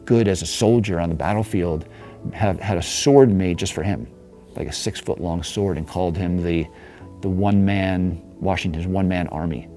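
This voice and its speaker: Deep-voiced man telling local history. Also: mellow. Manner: rambling, restarts, chuckles. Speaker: interviewee. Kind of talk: casual storytelling interview.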